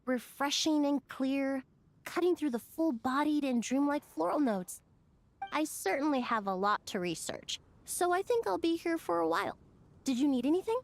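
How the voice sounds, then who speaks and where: High, lilting young perfumer. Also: female voice, expressive, moderate pace. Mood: thoughtful. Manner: polite, enthusiastic, thoughtful. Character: friendly young woman perfumer minding a flower shop. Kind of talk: video game character voice acting.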